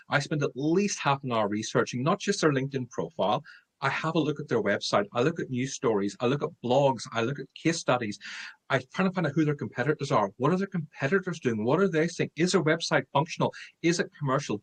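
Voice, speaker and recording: A man's voice: medium pitch, a bit bright, slightly rough. A middle-aged man with an Irish accent, a guest on a sales podcast, talking emphatically and repeating his key points. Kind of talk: podcast interview.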